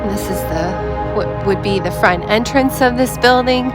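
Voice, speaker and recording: A woman narrating a walking tour outdoors, her voice slightly hoarse as she recovers from an illness, talking slowly and explaining as she goes. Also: medium pitch. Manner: slow, unhurried, explanatory. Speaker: tour vlogger. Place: outdoors by a river near waterfalls. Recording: loud music under the voice.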